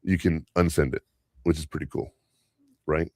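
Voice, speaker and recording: A man's voice: a bass voice, steady tone, normal speed, a little mellow, dry recording, studio quality. A man whose first language is Spanish, hosting a podcast in a casual, rambling way, telling stories from work and chuckling often. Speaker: podcast host and school teacher.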